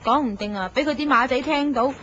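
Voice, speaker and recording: A gossipy office coworker teases her colleagues, as a woman acts her out. Her voice sits high. The recording is dull and lo-fi, with a faint hiss beneath. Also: fairly quick, a bit bright. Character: cautious female office coworker. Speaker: voice actress in a radio drama.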